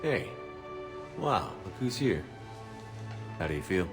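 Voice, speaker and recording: A man's voice: sits low, sing-song, slow, full character voice. An actor playing an easygoing male military commander in a video game, talking in a casual, friendly, direct way. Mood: warm, tender. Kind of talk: video game character performance. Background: prominent music.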